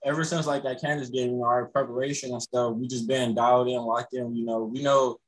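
Young man, college basketball player, quick speech, husky tone, postgame video call. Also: medium pitch, poor audio. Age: young adult. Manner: quick, relaxed, many "you know" fillers. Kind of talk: postgame press conference answers. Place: indoors in front of a logo backdrop.

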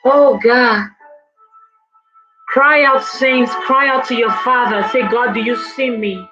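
A woman leading a congregation in prayer and worship, with slow, fervent, drawn-out phrases that slip into singing. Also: on the high side, fairly even intonation. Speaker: worship leader. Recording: dull lo-fi recording with soft music behind.

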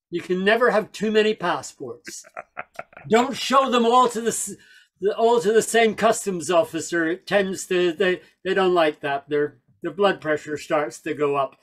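High-voiced older man spinning yarns. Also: fairly bright tone. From Northern Ireland, raised in England. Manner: chatty, wry storyteller with asides. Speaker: folk singer and speaker. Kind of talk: storytelling talk on a video call. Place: small room at home. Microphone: headset mic on a video call.

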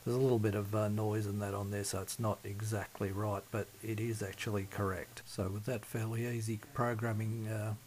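A man demonstrating a radio electronics repair at his workbench, speaking methodically and haltingly with many fillers. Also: lowish voice, level tone, darkish tone, slight background noise. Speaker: CB radio hobbyist. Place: home workbench.

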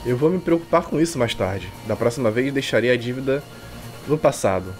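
A man's voice: mid-range voice, expressive, a little fast, darkish tone. A Brazilian man reading a visual novel aloud as a streamer, with irreverent, slangy commentary. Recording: game music behind the voice. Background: game music.

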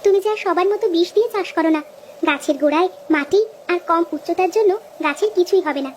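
A woman voicing a blunt, sharp-tongued village wife in a cartoon, with a scolding manner. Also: very high voice, fast talker, slight background noise. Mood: brisk, blunt. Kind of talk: cartoon dialogue acting.

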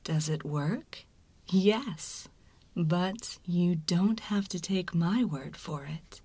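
A woman narrating a scripted ASMR advert in a soft, breathy, deliberate whisper. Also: fairly low pitch, dry recording. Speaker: promo narrator.

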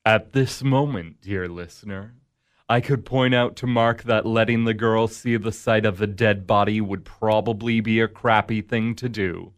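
An actor playing the Devil in a radio drama, a smug, needling tempter who talks in a measured, sardonic way. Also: male voice, on the low side, steady tone, lightly acted. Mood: quietly sly.

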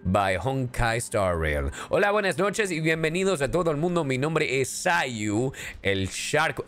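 A man from Ecuador streaming a game, playful and animated, switching between English and Spanish. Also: on the high side. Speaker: streamer and voice actor. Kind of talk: casual live game stream.

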